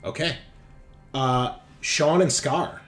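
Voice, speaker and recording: A man's voice: on the high side, slow pace, soft background music. A man running a tabletop roleplaying game as game master in a casual, conversational style.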